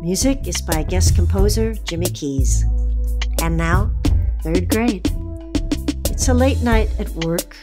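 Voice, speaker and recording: A woman's voice: on the low side, slow, pauses often. A woman hosting a podcast, reading a scripted introduction and narration. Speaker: podcast host. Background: music.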